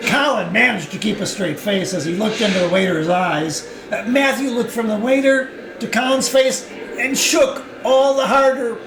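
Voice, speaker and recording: A man's voice: high voice, moderate pace, roomy sound, crowd noise, low-quality recording. A man giving a dramatic reading of a novel, often with laughter in his voice. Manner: breaks into laughter while reading. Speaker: reader.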